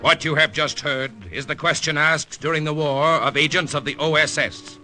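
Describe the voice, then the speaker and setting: A man's voice: a little high, fairly even intonation, moderate pace, sharp tone, poor audio. A man announcing a radio drama in dramatic, scripted 1950s style. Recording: old-time radio recording with music behind.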